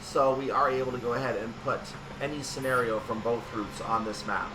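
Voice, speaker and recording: A man's voice: medium pitch, steady tone, fairly quick, recorded from a distance, background noise. A man giving casual gameplay commentary on a train simulator, thinking aloud and correcting himself as he goes. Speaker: gaming video host.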